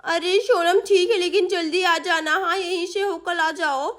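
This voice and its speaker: A woman's voice: very high voice, fairly even intonation, a little slow, fairly bright tone. A woman voicing an eager, trusting little village girl in a story, chatty and easily flustered. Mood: hurried.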